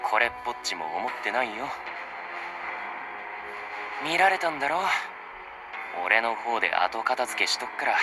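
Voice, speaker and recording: A man's voice: medium pitch, big pitch swings, quick pace, pauses often, close to an everyday voice. A man voice-acting a laid-back, placating young ghoul student in a game, with a casual, coaxing drawl, over music. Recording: game audio under loud music.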